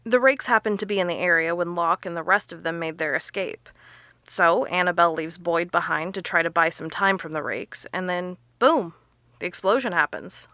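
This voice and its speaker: Bright, emphatic woman investigator. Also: fairly low pitch, normal speed, dry recording. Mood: explaining, intent. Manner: emphatic, explanatory. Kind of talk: audio drama dialogue.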